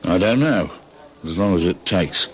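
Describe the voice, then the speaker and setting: An actor playing a wary, practical Australian accomplice in an old radio crime drama, blunt and colloquial. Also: male voice, deep voice, expressive, unhurried.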